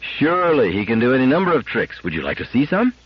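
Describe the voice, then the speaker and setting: Man voicing an insistent wife, lifted animated pitch. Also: fast, husky, dry recording. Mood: coaxing, gentle. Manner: insistent, argumentative. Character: nagging, insistent, anxious wife and mother. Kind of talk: audiobook character acting. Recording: dull lo-fi radio-like audio.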